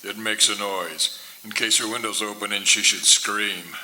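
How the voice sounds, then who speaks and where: An actor as a cold hired killer in a radio play. The voice is lowish and very sharp, with faint noise behind it. He talks tersely and matter-of-factly, all business. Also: steady tone, moderate pace, poor audio. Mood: cold, businesslike. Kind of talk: old-time radio drama acting.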